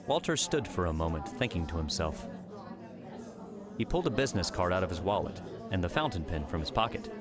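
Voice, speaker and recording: Man, deep voice, scripted audiobook narration, storytelling, background music. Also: lively intonation, brisk, a crowd in the background.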